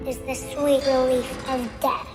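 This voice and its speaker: A tiny, high, cheerfully morbid star creature from an animated film. It speaks slowly in an echoey space, under loud music. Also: female voice. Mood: sweetly bleak.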